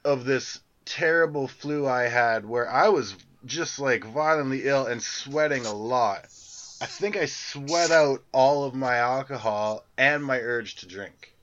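A man's voice: mid-range voice, unhurried, fairly bright tone. Crude rambling man on a lo-fi podcast. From Canada. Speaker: podcast co-host. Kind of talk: casual chat.

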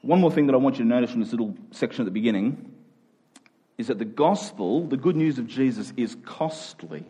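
A man preaches, with a medium-pitched voice that has a slightly rough, husky edge. He delivers a sermon, circling back on key phrases to drive them home and checking in with short rhetorical questions. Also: animated, fairly quick, mellow.

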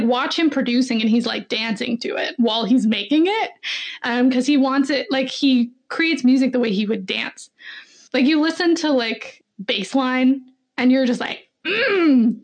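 Young female podcast guest, high, slow, effusive fan. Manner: gushing, effusive fan talk. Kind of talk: casual podcast chat.

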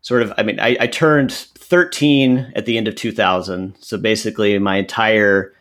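A man in his thirties from Central Texas, a podcast guest who explains his views in an earnest, measured way. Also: fairly low pitch, fairly even intonation, a bit bright. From Central Texas, USA. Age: 30s. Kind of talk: podcast interview answers.

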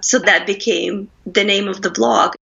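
A woman's voice: mid-range voice, steady tone, unhurried, creaky, pushed and bright, muffled recording. A woman from Russia, a food blogger guest in an interview, talking in a friendly, easy way and laughing often. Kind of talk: casual interview chat.

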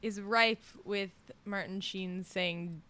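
A woman co-hosting a casual podcast, playful and quick with slang. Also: medium pitch, fairly even intonation, sharp tone. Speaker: podcast co-host. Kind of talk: casual chat.

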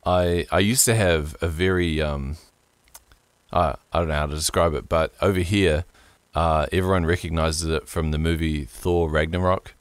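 A young man with a very low voice and an accent that blends New Zealand and American speech. He hosts a podcast, relaxed and quick to laugh, and often restarts his sentences. The sound is dry and close, with no room around it. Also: no room sound. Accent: mixed New Zealand and American. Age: young adult. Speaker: podcast host. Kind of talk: casual podcast chat.

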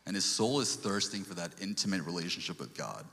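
A man's voice: low voice, expressive, fairly quick, vocal fry, sharp tone, long reverb tail. A man from Sri Lanka giving an earnest church testimony, quoting scripture and stumbling over restarts. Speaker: lay speaker giving a testimony.

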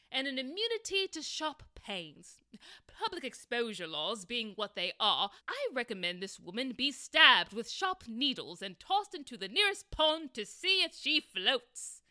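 Woman acting smug brainy detective lady, bright swoopy voice, theatrical, comedy audio play. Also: fairly high pitch, dry recording, clean studio sound, full character voice. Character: eccentric, smug, clever female detective, easily bored.

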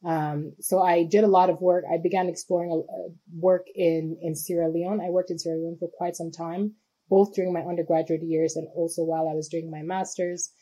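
A woman from Cameroon speaks in a husky, mellow, lowish voice. She talks about her work thoughtfully, pausing often and restarting her sentences as she reaches for words. Her delivery is measured and conversational. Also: little pitch movement, poor audio. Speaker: guest, humanitarian worker. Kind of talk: conversational interview.

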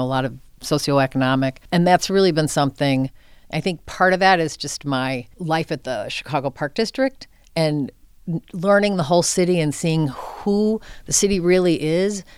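Older woman, smooth clear voice, dry recording. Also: deep voice, no room sound. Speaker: film center executive director, interview guest. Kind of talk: conversational interview.

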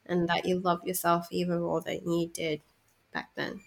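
A woman with a fairly low voice talks through a casual podcast episode. Her first language is Cantonese, and she is chatty and reflective, laughing easily as she goes. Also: level tone, moderate pace, creaky. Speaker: podcast co-host.

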